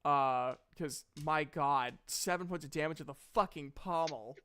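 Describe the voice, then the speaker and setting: A man's voice: fairly high pitch, unhurried, fairly bright tone, clear, resonant tone. A man narrating a tabletop game as game master, casual and improvised, with joking, profane asides. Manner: jokey, profane.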